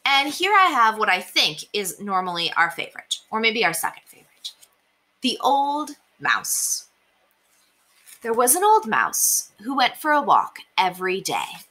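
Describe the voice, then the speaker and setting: A young woman reading children's stories aloud as an expressive storyteller. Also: a little high, measured pace. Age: young adult. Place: small indoor room.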